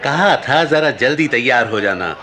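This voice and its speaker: A man's voice: mid-range voice, animated, normal speed, lightly acted. A man acting a grumbling, exasperated husband in a radio play. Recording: crowd noise behind.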